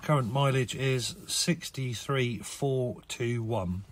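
A man with a croaky, hoarse voice and a British accent, casually talking through a car's features while filming in an indoor showroom. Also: medium pitch, takes it slowly. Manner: relaxed, chatty listing of features. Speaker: car salesman. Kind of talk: walkaround car sales video. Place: indoor car showroom with tiled floor.

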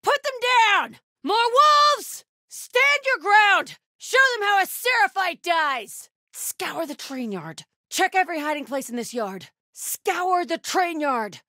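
A fierce female cult warrior from a video game. Her voice is very high and bright, with slow, weighty delivery. She commands and accuses with a zealot's force. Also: very animated, no room sound, studio quality, full character voice. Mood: urgent battle fury, then commanding. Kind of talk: video game combat barks.

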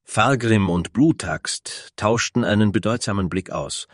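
A man's voice: fairly low pitch, unhurried, dry recording, studio quality. A man reading scripted narration for a fantasy story as its narrator.

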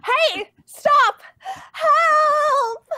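Woman doing a high-voiced lovestruck teen duck girl. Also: very slow, bright, forceful tone, no room sound, heavily acted. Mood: excited. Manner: gushing, dramatic delivery. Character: lovestruck, melodramatic teenage girl duck from a comic parody. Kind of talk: live comic dub reading.

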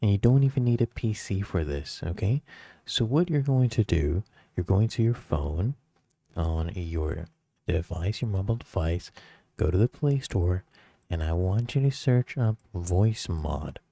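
A man's voice: low-pitched, animated, measured pace, vocal fry, dark tone, no echo. A man narrating a casual how-to tutorial as the host, recorded as a close, dry voice-over.

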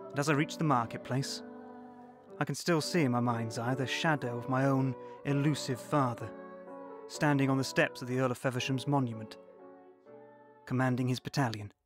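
A man's voice: mid-range voice, fairly even intonation, normal speed, lightly acted. An actor in his sixties narrating as an older man recalling his wartime boyhood, reflective and wistful. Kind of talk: scripted narration. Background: music.

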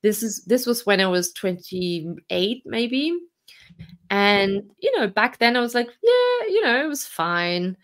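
A woman in her forties from Austria, a podcast guest telling her story candidly, reflective and laughing softly between hard memories. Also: mid-range voice, sing-song, slow.

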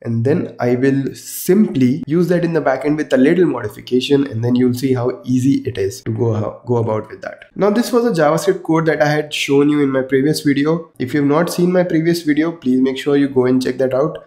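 A man's voice: mid-range voice, brisk, hardly any pauses, dark tone, reverberant. A young man with a sore throat, hosting a casual coding tutorial and explaining each step conversationally from a small room at his desk. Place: small indoor room with wardrobe.

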